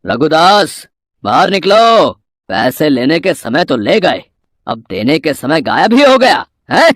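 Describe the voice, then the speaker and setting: A man playing a greedy, domineering village moneylender in an animated moral story, imperious and threatening. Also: on the high side, slow pace, dry recording, clean studio sound, heavily acted. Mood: angry, demanding.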